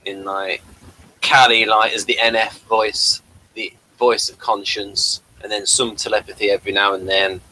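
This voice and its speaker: A man hosting a casual review chat, talking in a halting, rambling way. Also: fairly low pitch, flat delivery, sharp tone, rough recording. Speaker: host of a TV review talk.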